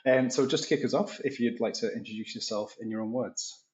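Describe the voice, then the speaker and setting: A chatty, upbeat man hosts an interview, leading with easy questions and quick asides. His voice sits at an ordinary pitch, and the sound is dull and a little lo-fi. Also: darkish tone. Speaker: podcast host. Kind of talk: casual interview chat.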